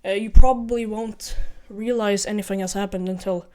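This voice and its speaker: A man's voice: high-pitched, fairly even intonation, moderate pace, mellow. Earnest young man, Ukrainian-Norwegian accent. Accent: mixed Ukrainian and Norwegian. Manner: earnest, rambling, self-correcting, repeats himself. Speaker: vlogger. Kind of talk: casual advice vlog.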